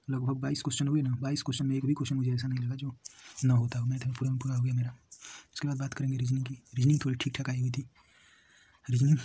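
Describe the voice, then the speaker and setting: Husky young man, even pitch. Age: young adult. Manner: thinks aloud, repeating himself and mixing in English words. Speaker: exam candidate giving paper analysis and advice. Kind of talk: informal exam review talk to viewers.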